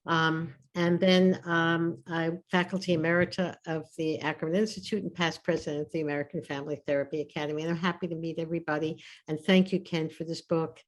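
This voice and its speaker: An older woman, a family therapist from Brooklyn, introducing herself on a video call with many pauses and fillers. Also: deep voice, flat delivery, low-quality recording. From Brooklyn, New York. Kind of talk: casual self-introduction.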